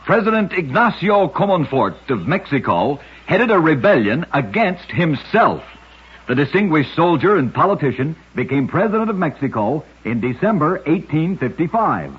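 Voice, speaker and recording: A man narrating short true tales in a scripted 1940s radio style, with a measured, dramatic storytelling delivery. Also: fairly high pitch, big pitch swings, breathy edge. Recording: muffled old 1940s radio recording.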